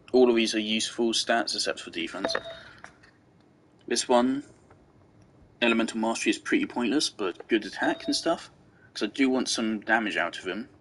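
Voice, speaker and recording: A man's voice: lowish voice, fairly bright tone, a little hiss or hum, low-quality recording. A man giving casual gameplay commentary, rambling and thinking aloud as he goes. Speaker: game streamer.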